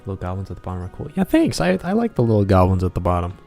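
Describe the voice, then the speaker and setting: A man's voice: low-pitched, huge pitch swings, racing, gravelly, a little mellow. A man hosting a casual livestream as he draws, rambling to viewers and muttering asides to himself. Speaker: livestream host, game developer and artist. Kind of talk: casual livestream chat while drawing. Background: background music.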